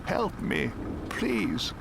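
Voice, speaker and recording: Storybook councillor, old and dying, acted by a male narrator: weak, labored, pleading, swooping pitch, rain behind. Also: very slow, exaggerated voice. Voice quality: breathy, labored. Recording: steady rain noise behind the voice.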